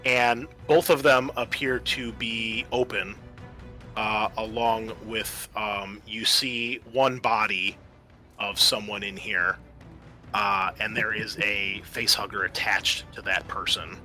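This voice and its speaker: A man's voice: fairly low pitch, fairly even intonation, takes it slowly, sharp tone, faint music behind. A man acting as game master, narrating a tabletop roleplaying scene descriptively and speaking directly to his players.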